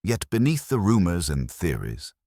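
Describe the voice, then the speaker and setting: A man narrates a scripted mystery in a measured, literary way. His voice is exceptionally deep, yet it moves with animated intonation. The sound is dry, with very little room around it. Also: dry recording. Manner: measured, formal, literary delivery.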